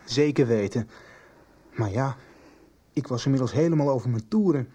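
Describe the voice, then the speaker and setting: An actor playing an earnest, emotional teenage schoolboy in a naturalistic radio drama, speaking informally and a little haltingly. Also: male voice, medium pitch, dark tone, breathy edge, lightly acted. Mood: indignant.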